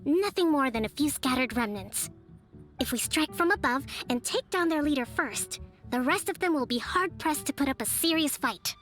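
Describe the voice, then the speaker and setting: Kokomi, a calm young strategist. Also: female voice, sits high. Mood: strategic. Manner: measured, formal and articulate. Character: young woman leader and strategist, calm and composed. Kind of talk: game voice acting. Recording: studio voice with soft game music.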